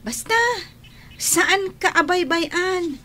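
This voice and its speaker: Woman as a high-voiced protective schoolgirl sister. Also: drawn-out words, bright, forceful tone, full character voice. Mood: reassuring, insistent. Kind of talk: radio drama acting.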